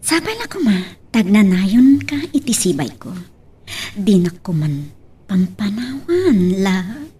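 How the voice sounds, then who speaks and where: A woman playing a clingy, lovelorn, pleading mistress in an Ilocano love drama, breathy, with an Ilocano accent. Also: mid-range voice, sing-song, slow pace, mellow, recorded from a distance, full character voice. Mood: loving, tender. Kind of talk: Ilocano radio-style love drama acting.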